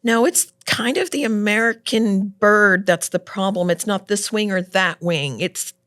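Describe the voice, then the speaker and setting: A middle-aged woman talking as a guest in a casual interview, loud and animated, laughing often. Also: medium pitch, measured pace.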